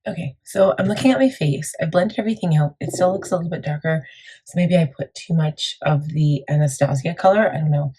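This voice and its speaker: Beauty vlogger, female, deep and husky, warm mellow tone, chatty and meandering. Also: fairly quick, poor audio. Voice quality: gravelly, deep. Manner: chatty, rambling, many fillers. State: morning voice, a bit crackly. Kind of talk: casual makeup tutorial chat.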